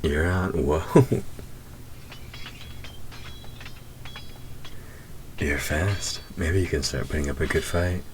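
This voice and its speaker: A man voicing a teasing, flirtatious winged demon in a roleplay audio drama, speaking intimately and often slipping into breathy whispers. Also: very deep voice, measured pace, background noise. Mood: playful, taunting. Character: teasing, flirtatious male demon with wings, secretly grieving.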